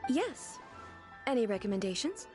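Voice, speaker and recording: A regal woman ruler from a video game, earnest and literal-minded. Her fairly high voice is formal and measured, yet moves with curious inflection over soft music. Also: big pitch swings. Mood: calm, curious. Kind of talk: video game character acting.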